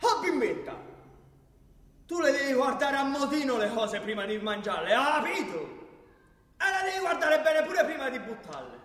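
Man playing a high-voiced, bossy elder, echoey. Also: very animated, normal speed, fairly bright tone, distant mic, exaggerated voice. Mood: gruffly caring. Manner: scolding, folksy, exclamatory. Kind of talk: theatrical radio-play dialogue. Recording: echoey hall with music.

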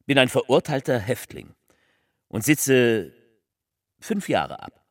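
Casting: a fervent male orator for radio drama, emphatic, pausing for weight, highly animated pitch. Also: no echo, clean studio sound, heavily acted. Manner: declamatory, deliberate pauses, emphatic swells and drops. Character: fervent male politician delivering a rambling, faltering public speech. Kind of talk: theatrical political oratory.

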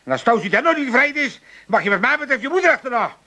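A man's voice: extremely high pitch, big pitch swings, rapid-fire, pushed and bright, exaggerated voice. An actor playing a cantankerous, mocking old Amsterdam father in a comic radio play, grumbling theatrically. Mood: sulky, bustling. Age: older.

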